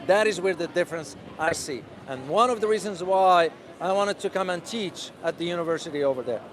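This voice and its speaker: A man vlogging as he walks a city street outdoors, talking loudly and passionately while answering viewers' questions. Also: high-pitched, brisk. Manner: loud and passionate. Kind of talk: casual walking vlog answering live questions. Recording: crowded outdoor street noise. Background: street crowd.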